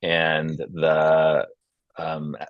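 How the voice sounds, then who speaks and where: A man's voice: rumbling low, completely flat delivery, drawn-out words, dry recording, muffled recording. A man hosting and narrating a script table read in an informal style. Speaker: host and stage-direction reader. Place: video call.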